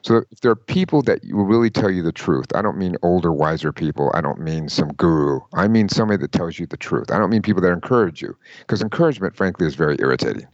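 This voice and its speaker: A man giving career advice in an interview, emphatic and lively, often quoting people in mock voices. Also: low voice, fast, hardly any pauses, no room sound, highs cut off. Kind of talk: conversational interview.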